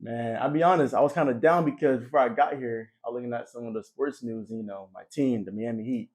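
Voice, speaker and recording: A man's voice: quick pace, darkish tone. A young man from Nigeria, talking upbeat and grateful as a podcast guest. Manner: upbeat, high-energy, grateful. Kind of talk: casual interview chat.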